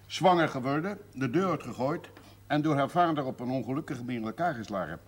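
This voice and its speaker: Wry older father, medium-pitched. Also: lively intonation, quick pace, slightly rough. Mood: wry, hesitant. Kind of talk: naturalistic television drama acting. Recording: faintly noisy 1970s television soundtrack, tableware clinks.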